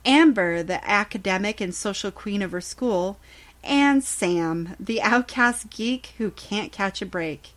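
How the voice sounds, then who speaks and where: A woman's voice: medium pitch, expressive, slow. A woman hosting a podcast segment, reading a scripted news report.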